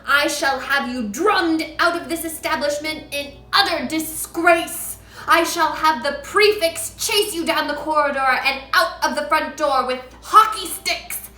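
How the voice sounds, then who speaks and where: A woman playing a booming, furious giant headmistress. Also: animated, slow pace, sharp tone, heavily acted. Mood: shouting. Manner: booming, barking, ranting. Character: huge, furious, child-hating headmistress. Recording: echoey room.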